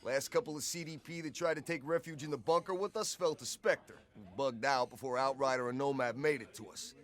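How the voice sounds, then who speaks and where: An actor playing a cocky soldier who tells war stories casually in clipped, wry military slang for a video game. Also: male voice, medium pitch, steady tone, fairly quick, fairly bright tone, close to an everyday voice. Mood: brisk recounting. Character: cocky male soldier swapping war stories with his squadmate. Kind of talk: casual in-character storytelling.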